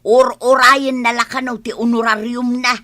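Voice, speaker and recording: A woman's voice: fairly high pitch, level tone, moderate pace, pushed and bright. A woman with an Ilocano accent acting a gossipy village neighbour in a radio comedy drama.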